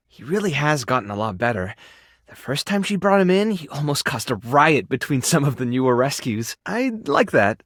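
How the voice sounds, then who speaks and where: An actor playing an earnest, caring male animal rescuer in a video game, gentle, hesitant and easily flustered. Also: on the high side, dry recording, clean studio sound. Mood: awkward, flustered. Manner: hesitant, soft-hearted, coaxing. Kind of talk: expressive dramatic game voice acting.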